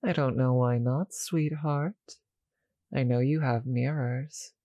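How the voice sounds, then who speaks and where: A performer plays a doting, possessive vampire in an intimate audio roleplay. The voice is mellow and unhurried, with a creaky, breathy purr. It teases softly and sounds very close and dry. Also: mid-range voice, steady tone, no room sound. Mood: teasing, restrained. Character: seductive, doting vampire with a teasing, possessive streak.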